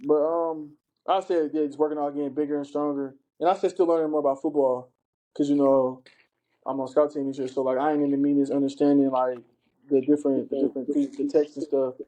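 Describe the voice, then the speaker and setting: Halting young man, mellow higher voice. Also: flat delivery, low-quality recording. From Cleveland, Ohio. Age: young adult. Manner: halting, many restarts and "you know". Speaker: college football player guest. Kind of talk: casual podcast chat.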